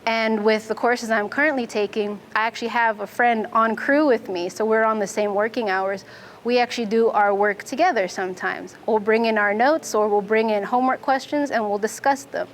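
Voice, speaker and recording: Woman, young adult, from Fiji, clear and methodical, slight hiss behind. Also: a little high, steady tone, normal speed. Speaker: Navy nuclear instructor and student. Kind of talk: interview answers.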